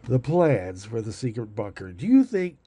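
A man's voice: mid-range voice, very animated, normal speed, mellow, husky. A man hosting his own show in a sarcastic, rambling way, with a stuffed-up nose. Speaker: podcast host. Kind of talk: casual monologue.

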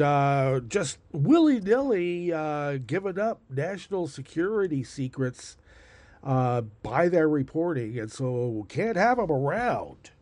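A man's voice: a little high, darkish tone, dry recording. A man hosting his own show in a sarcastic, rambling way, with a stuffed-up nose. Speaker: podcast host. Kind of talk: casual monologue.

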